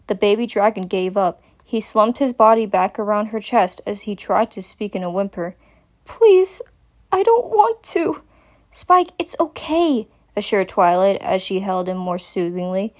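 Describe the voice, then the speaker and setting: Female story narrator, mid-range pitch, scripted dramatic reading of fiction.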